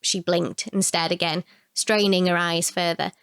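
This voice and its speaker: A woman reading a short story as a narrator, in an expressive, measured storytelling manner. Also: lowish voice, clear, resonant tone, no room sound.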